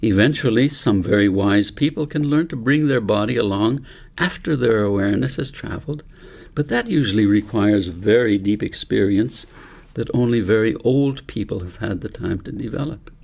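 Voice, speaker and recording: A man voicing a wise, patient old grandfather in a storybook, explaining things in a measured, instructive way. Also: fairly low pitch, vocal fry, a little mellow. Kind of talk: storybook reading.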